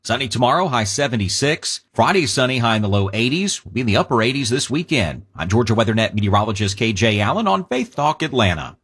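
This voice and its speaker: A man's voice: medium pitch, big pitch swings, quick pace, few pauses, a bit bright, breathy edge. A male meteorologist reading a radio weather forecast in a matter-of-fact way.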